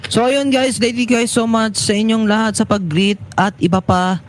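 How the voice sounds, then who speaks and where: A man's voice: sits high, fairly even intonation, normal speed, a little hiss or hum. A man in his twenties with a Filipino accent, hosting a talk show in a loose, rambling, informal way and mixing in English phrases. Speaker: internet radio talk show host. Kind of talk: casual rambling sign-off chat.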